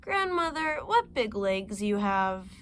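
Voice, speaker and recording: A woman voicing an innocent, chatty little girl, speaking in a higher, eager and naive voice. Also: sing-song, slow pace, a bit bright, a little hiss or hum. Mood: wondering.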